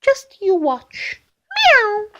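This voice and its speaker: A woman's voice: very high voice, wildly swooping pitch, extremely slow, a bit bright, voice pushed up, exaggerated voice. A woman voicing a small, cheeky storybook kitten who punctuates speech with meows. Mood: cheeky, defiant. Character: small eager kitten, cheeky and sure of itself.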